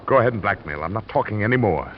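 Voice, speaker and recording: A man's voice: fairly low pitch, animated, racing, lightly acted. An actor playing a nervous, evasive man with something to hide, hesitant and guarded in vintage radio style. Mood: evasive, defensive. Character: nervous, evasive well-off male narcotics buyer. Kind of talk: 1940s-50s radio drama acting. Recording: muffled, slightly noisy old radio recording.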